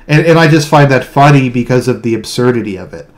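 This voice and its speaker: A man with a deadpan delivery speaks casually in a solo monologue, often repeating words and restarting his sentences. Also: medium pitch, fast talker. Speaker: online video commentator. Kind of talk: casual monologue explaining own humour.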